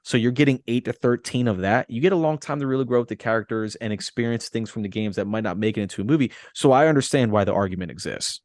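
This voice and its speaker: A man's voice: medium pitch, quick pace, hardly any pauses, a little mellow, dry recording, studio quality. A man co-hosting a podcast, talking casually in an explanatory way and restating points for emphasis. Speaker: podcast co-host. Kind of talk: casual, conversational discussion.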